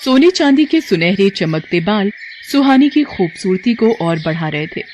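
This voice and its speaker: Woman narrator, mid pitch, reading a kids fairy tale script. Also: big pitch swings, normal speed, a little mellow, a little hiss or hum.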